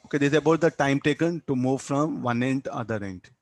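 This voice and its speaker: An Indian-accented man gives a physics lecture in a medium-pitched voice. He works through problems didactically, putting questions to the class and answering them himself. The sound is dry, with very little room echo. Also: fast talker. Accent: Indian English. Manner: didactic, asks and answers own questions, tags statements with "no?". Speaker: physics teacher.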